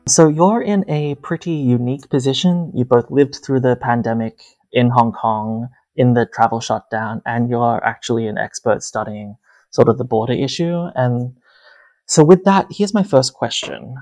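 A man's voice: mid-range voice, moderate pace, darkish tone. A man with an Australian accent speaking as a podcast host, presenting and interviewing on a policy show. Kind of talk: scripted podcast introduction and interview.

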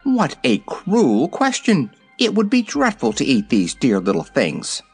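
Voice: mid-range voice, very animated, moderate pace, breathy edge, soft background music. A narrator voicing a forthright, kind-hearted little girl in an audiobook, prompt and earnest. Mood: reproachful. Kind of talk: audiobook character voice.

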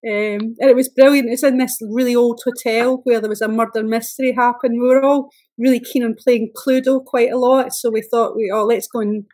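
A woman's voice: a little high, fairly even intonation, a little fast, darkish tone. A Scottish woman talking casually as a guest, telling stories in a hesitant, meandering way. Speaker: special guest. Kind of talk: casual storytelling.

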